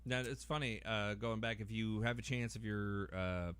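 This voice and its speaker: Low, smooth-voiced man chatting. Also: steady tone, moderate pace, a bit bright. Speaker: podcast guest. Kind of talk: casual podcast chat.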